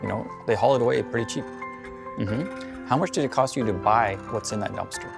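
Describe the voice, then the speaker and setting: A man speaks quickly and persuasively, as in a documentary interview. His voice has a gravelly edge. Music plays clearly underneath. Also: medium pitch. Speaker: food waste consultant.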